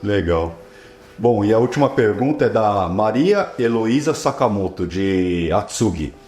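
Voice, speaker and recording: A man with a lowish voice acts as a casual interview host. He speaks in short reactions and questions. The sound is distinctly roomy, with faint music underneath. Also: expressive, unhurried, darkish tone. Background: faint instrumental music.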